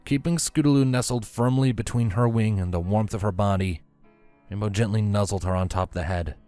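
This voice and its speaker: Low-pitched man narrating a story. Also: fairly quick, mellow. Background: background music.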